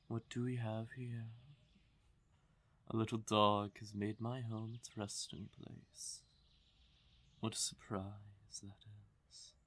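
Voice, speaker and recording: Man acting a slow, breathy, haughty fae king. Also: on the low side, flat delivery, fairly bright tone. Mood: mock displeasure. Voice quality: breathy, often dropping to a whisper. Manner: condescending, slow purring menace, theatrical. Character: haughty, teasing male fae king of the forest. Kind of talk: fantasy audio roleplay, speaking directly to listener.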